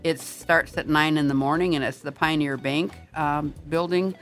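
A woman's voice: low-pitched, fairly even intonation, normal speed, faint music behind. An older woman, a retired master gardener, chatting on the radio and giving advice with many restarts and emphatic asides. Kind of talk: casual radio chat.

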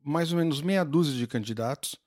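A man's voice: a little high, a little fast, a little mellow, dry recording, clean studio sound. Older Brazilian man explaining patiently. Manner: patient explainer with many fillers and restarts. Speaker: guest. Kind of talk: casual explanatory conversation.

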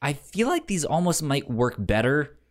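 A man co-hosting a podcast in casual conversation, halting and given to chuckling as he goes through his notes. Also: on the high side, very animated. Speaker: podcast co-host.

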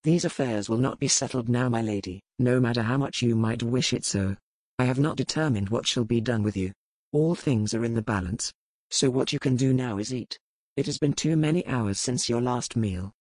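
An actor playing a brooding noble pirate captain in an audiobook, speaking in measured, formal old-fashioned phrasing. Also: male voice, mid-range voice, darkish tone, no room sound, close to an everyday voice. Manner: measured, cold, formal period speech. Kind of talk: audiobook character reading.

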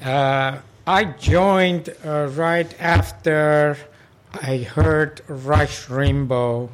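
Older Iranian-born man, halting speech. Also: a little high, fairly even intonation. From Iran. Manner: halting, many fillers and restarts. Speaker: interviewee, local club president. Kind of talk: oral history interview.

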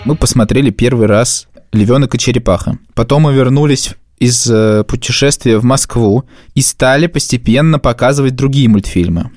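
A man's voice: mid-range voice, moderate pace, hardly any pauses, a little mellow, soft background music. A man talking casually and colloquially, thinking aloud as a podcast co-host. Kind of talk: casual conversational discussion.

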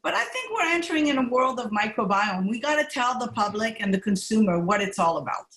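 A woman's voice: on the high side, very animated, fairly quick, fairly bright tone, echoey room, slight background noise, poor audio. A middle-aged woman gastroenterologist who grew up in Montreal, talking candidly and with laughs in a podcast interview. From Montreal, Canada. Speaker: gastroenterologist guest.

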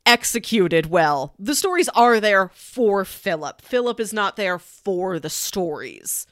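A woman's voice: mid-range voice, very animated, slow, fairly bright tone, no echo, clean studio sound. A woman co-hosting a casual film discussion podcast, analytical and emphatic as she makes her points. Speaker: podcast co-host reviewing a film.

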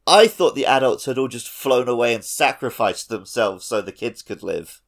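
A man's voice: medium pitch, lively intonation, normal speed, vocal fry, a little hiss or hum. A man co-hosting a podcast, chatting casually about a video game with wry, joking asides. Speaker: podcast co-host.

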